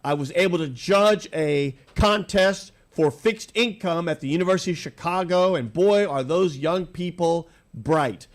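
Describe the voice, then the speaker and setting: A man hosting a radio talk show, a little nasal from allergies, with a voice pitched somewhat high for a man. He talks in an upbeat, rambling way, asking long questions in a studio. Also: a little slow, hardly any pauses. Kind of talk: casual radio interview.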